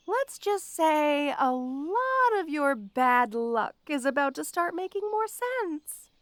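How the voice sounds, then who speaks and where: A woman playing a petty, obsessive supervillain in an audio roleplay, gloating haughtily and speaking straight to the listener with theatrical pauses. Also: high-pitched, fairly bright tone, dry recording, clean studio sound, full character voice. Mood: bitter, grandiose. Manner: gloating, haughty, dramatic pauses and sudden swoops. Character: petty, obsessive, melodramatic female supervillain with a grudge.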